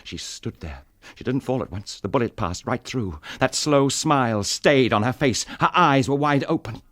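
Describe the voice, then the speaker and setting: An actor playing a tormented English gentleman in a radio drama, speaking with intense, bitter, clipped delivery. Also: medium pitch, expressive, normal speed, no room sound, lightly acted. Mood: grim, haunted. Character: tormented English gentleman husband confessing to killing his wife.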